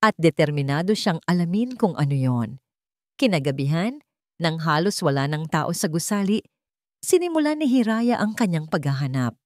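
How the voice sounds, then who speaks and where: A woman with a Filipino accent narrates a scripted drama. Her voice sits low, and the sound is dry, with hardly any room in it. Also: very animated, normal speed, darkish tone, dry recording. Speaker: story narrator.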